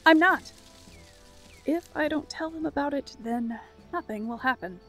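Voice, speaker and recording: A woman's voice: high voice, unhurried, fairly bright tone, faint music behind. A woman playing a prickly, guarded young island captive in an audio drama, curt and challenging. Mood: sullen.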